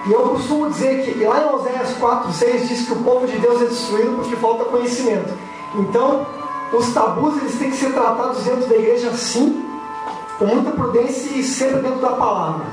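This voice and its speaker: A hoarse man with a Brazilian accent who has a cold, preaching to young people at church in a casual, slangy way. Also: extremely high pitch, a little fast, over music. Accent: Brazilian Portuguese. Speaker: youth preacher. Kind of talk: youth sermon. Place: church hall. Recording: echoey church from far off.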